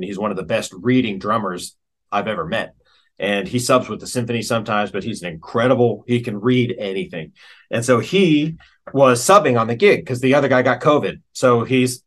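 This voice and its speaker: A middle-aged American man chatting casually as an interview guest, telling stories in an animated, colloquial way. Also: lowish voice.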